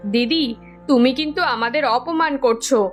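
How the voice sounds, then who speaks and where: Woman doing a stubborn young village wife in a cartoon, highish voice, pushy and defiant. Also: normal speed, soft background music, close to an everyday voice. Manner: insistent, defiant. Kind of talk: Bengali village cartoon dubbing.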